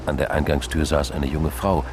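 A man narrating a radio crime drama in a measured, literary storytelling manner. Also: a bass voice, little pitch movement, heavy background noise.

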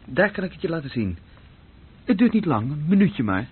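An actor playing a tense, obsessive man in a radio drama, speaking in incantatory, urgent fragments with a husky voice. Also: on the high side, sing-song, normal speed, full character voice. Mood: urgent, coaxing. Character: tense, obsessive man drawn to Russian roulette. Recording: muffled, tinny old radio-play recording.

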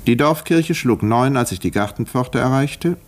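An actor as a precise doctor narrating, lively inflection. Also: male voice, mid-range voice, moderate pace, close to an everyday voice. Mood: measured, grave. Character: village doctor narrating a murder case, controlled and precise. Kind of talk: 1950s German radio play acting. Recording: vintage 1950s radio recording, roomy dialogue scenes.

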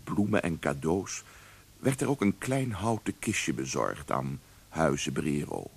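A man narrating a historical radio play as a measured storyteller, with a husky voice. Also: low-pitched, heavily acted.

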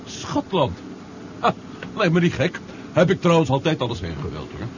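A man acting a brisk, decisive adventurer in a radio drama. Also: fairly high pitch, huge pitch swings. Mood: agreeable. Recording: muffled, noisy old radio recording.

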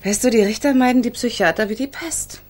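An actress playing a familiar, teasing woman visitor with a husky voice and a casual, wry manner in a German radio play. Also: fairly high pitch, a little fast, a little mellow, natural voice. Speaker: actress in radio crime drama.

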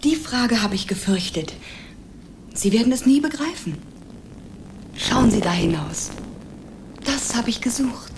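Dreamy, lively-voiced woman stowaway. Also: a little high, unhurried, pauses often, noisy background. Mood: rapturous, dramatic. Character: dreamy, bold female stowaway, theatrically romantic and self-possessed. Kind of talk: German radio play acting.

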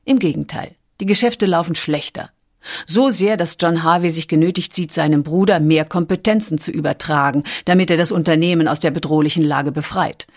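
A woman's voice: low-pitched, fairly quick, a little mellow. A woman reading scripted documentary narration as a narrator.

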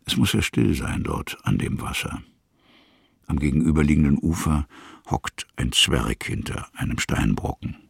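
An actor narrating a literary radio drama as a musing male storyteller, in measured, fragmentary phrases with a gravelly, husky voice. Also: very deep voice, big pitch swings, no echo, clean studio sound, exaggerated voice.

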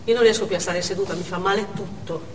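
A woman's voice: medium pitch, steady tone, fast talker, recorded from a distance, lightly acted. Scared indignant mother held hostage played by a stage actress, dramatic monologue, big echoey hall, noisy recording. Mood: weary, stunned. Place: theatre stage.

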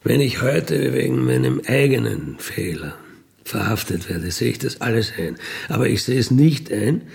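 A man with a gravelly voice, recounting in a blunt, matter-of-fact, colloquial way with emphatic tag questions. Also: mid-range voice. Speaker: ex-convict telling his experiences. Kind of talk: colloquial spoken monologue, recounting.

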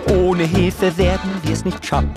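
A man's voice: high-pitched, animated, unhurried, gravelly, loud music behind the voice, heavily acted. A man playing an eager, self-important and deluded crown prince in a satirical radio play, insistent in manner. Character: eager, scheming, deluded crown prince, the Kaiser's grown son. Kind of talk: satirical radio play acting with sung passages.

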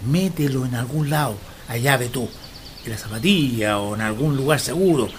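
A woman's voice: rumbling low, moderate pace, slight background noise. Woman doing an old guy in his 70s, confused and scared, radio drama. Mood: worried scolding. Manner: anxious, pleading, disoriented. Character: confused elderly man with memory loss. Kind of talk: community radio drama acting.

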